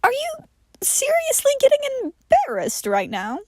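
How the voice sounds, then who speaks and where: A woman's voice: very high-pitched, huge pitch swings, measured pace, a little mellow, no room sound, clean studio sound, pitched above the performer's own voice, exaggerated voice. A woman voicing a brash, short-tempered immortal man with a blunt, sarcastic manner in an audio story. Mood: exasperated.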